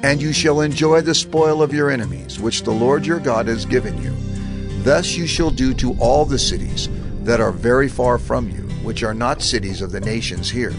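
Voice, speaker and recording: A man narrating scripture in a measured, formal way for an audio drama. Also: medium pitch. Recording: studio narration over music.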